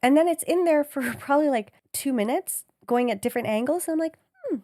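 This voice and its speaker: A woman co-hosting a podcast, telling an oversharing story in animated, casual chat. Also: high voice, fast, no room sound, clean studio sound. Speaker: podcast co-host.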